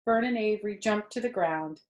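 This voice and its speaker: A woman's voice: mid-range voice, a little fast, a little mellow. A woman reading a children's story aloud expressively, with conversational asides to her listeners. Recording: roomy echo.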